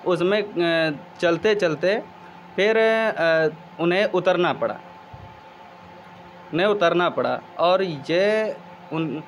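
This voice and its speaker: A man's voice: high voice, slow pace, long pauses, a little hiss or hum. A young male student answering outdoors, hesitant and searching for words. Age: young adult. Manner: hesitant, many fillers and restarts. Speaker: law student interviewee. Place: outdoors in a village.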